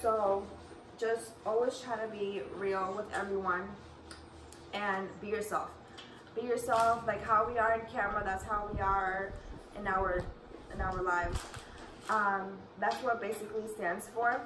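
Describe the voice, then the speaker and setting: A woman's voice: mid-range voice, steady tone, unhurried. A young woman from New York with a New York accent touched by Colombian Spanish, chatting loosely with fillers and restarts as a family vlog mother. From New York, USA. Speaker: vlogging mother. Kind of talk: casual family Q&A. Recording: roomy, distant, noisy home recording.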